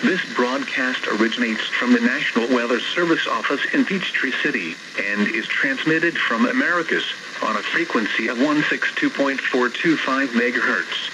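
A synthetic male announcer reads a formal, measured weather bulletin, heard through a radio speaker. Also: medium pitch. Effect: played through a radio speaker. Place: radio on a shelf in a small indoor room. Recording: noisy weather radio speaker recorded in room.

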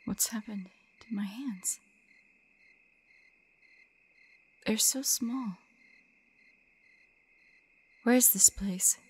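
A woman playing an anxious, forlorn young female hunter in an intimate audio roleplay, soft and breathy, often dropping to whispers. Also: fairly high pitch. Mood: confused, frightened.

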